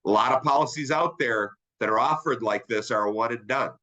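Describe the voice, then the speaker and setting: A man's voice: mid-range voice, a little fast, bright, forceful tone. A middle-aged man with a congested, nasal voice, presenting a sales training webinar in a conversational way and often checking in with his listeners. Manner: upbeat, conversational, asks "right?" often. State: congested, sinus infection.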